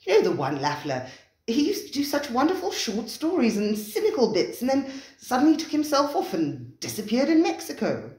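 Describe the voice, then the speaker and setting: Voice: mid-range voice, sing-song, moderate pace, a little mellow, voice pushed up, natural voice. A reader as an earnest, probing male diner. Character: curious, earnest male restaurant guest. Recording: roomy, echoey recording.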